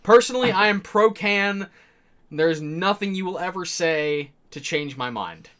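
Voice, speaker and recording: A man's voice: high voice, animated, measured pace, fairly bright tone. Breezy man from the booth. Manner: breezy, firm opinions. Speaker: producer in the booth. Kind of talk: casual chat.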